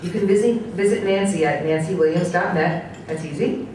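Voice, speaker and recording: A woman introduces a keynote speaker to a conference audience in a measured, formal reading. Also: on the low side, darkish tone, a little hiss or hum. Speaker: event organizer introducing the speaker. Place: large conference hall. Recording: very echoey hall, voice from a distance.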